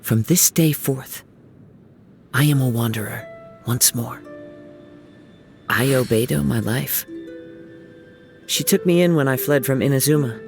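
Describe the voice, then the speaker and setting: Casting: serene young samurai wanderer for a game, gentle, poetic, mid-pitched, music underneath. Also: male voice, vocal fry. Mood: gentle, earnest. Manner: soft-spoken, reflective, lyrical phrasing. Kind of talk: video game character voice lines.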